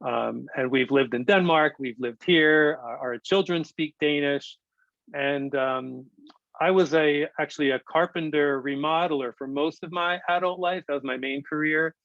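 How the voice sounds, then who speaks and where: Brief: male translator, chatty and meandering, slightly high voice, muffled video-call sound. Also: normal speed, creaky, a bit bright, dry recording. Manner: rambling, many ums and restarts. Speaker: translator giving a talk. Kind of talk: virtual lecture Q&A, casual.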